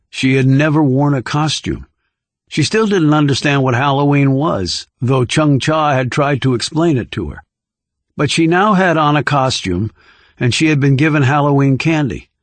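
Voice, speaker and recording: A man's voice: mid-range voice, no room sound. A man reading scripted thriller narration as an audiobook narrator.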